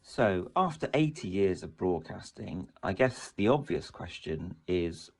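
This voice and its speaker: A man's voice: low voice, sing-song, a little slow. A man giving a prepared statement as a company executive. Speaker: commercial director.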